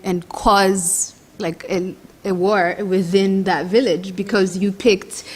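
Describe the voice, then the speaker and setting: A young woman from Congo with a Congolese accent that leans American, speaking earnestly to an audience as a nonprofit co-founder, often restarting her phrases. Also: medium pitch. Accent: Congolese, shifting toward American. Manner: earnest, many restarts and fillers. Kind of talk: personal speech to an audience.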